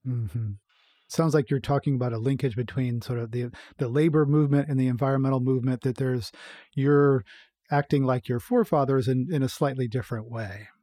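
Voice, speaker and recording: A man hosting an interview podcast, asking measured, attentive questions and reading quotes aloud. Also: medium pitch, fairly even intonation, dark tone, no room sound, studio quality. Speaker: podcast host. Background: faint music.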